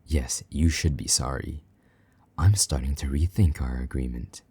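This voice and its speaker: A man plays a jealous, sadistic male vampire knight in an intimate audio drama. His voice is extremely deep and very husky, often sinking into breathy half-whispers. He speaks slowly, giving taunting commands with condescending possessiveness. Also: warm tone, dry recording, full character voice. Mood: jealous, disgusted.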